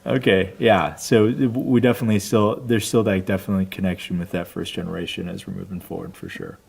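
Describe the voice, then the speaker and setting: A middle-aged man hosting a casual family interview, relaxed, with a slightly raspy voice and a habit of trailing off softly. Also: deep voice, fast, a little hiss or hum. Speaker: host.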